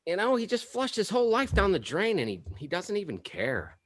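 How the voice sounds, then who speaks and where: A man's voice: high voice, wildly swooping pitch, fairly quick, no room sound, full character voice. An actor playing a petty, self-pitying suburban father, whiny and sarcastic, in a comedy table read. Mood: exasperated.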